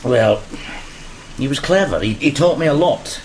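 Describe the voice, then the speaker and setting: A man's voice: medium pitch, measured pace, a little hiss or hum, lightly acted, rough recording. A man playing a wistful, weathered sailor, speaking hesitantly and plainly in a gothic audio play. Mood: wistful, fond. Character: wistful, weathered male sailor, survivor of a flogging. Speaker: actor in an audio drama. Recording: steady rain behind the voice.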